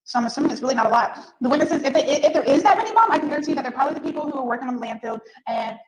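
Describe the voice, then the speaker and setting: A woman's voice: sits high, expressive, racing, darkish tone, roomy sound, low-quality recording. A woman speaking casually and personally on a jail video call, rambling and repeating herself as she talks. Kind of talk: casual personal phone conversation.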